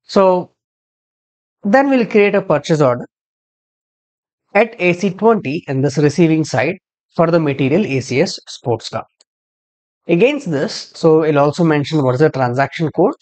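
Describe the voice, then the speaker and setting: Man narrating a software tutorial. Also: on the high side, lively intonation, normal speed, long pauses. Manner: step-by-step explaining, spelling out codes. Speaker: software trainer. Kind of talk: screen-share tutorial narration.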